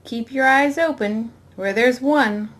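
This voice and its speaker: A woman's voice: a little high, slow pace. A woman reading the dialogue of an easygoing young 1960s commune woman in a dramatic novel reading. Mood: matter-of-fact.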